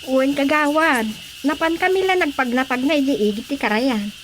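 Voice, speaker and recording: A woman's voice: high-pitched, normal speed, slight background noise, natural voice. A woman with an Ilocano accent playing a plaintive village wife in a radio comedy drama.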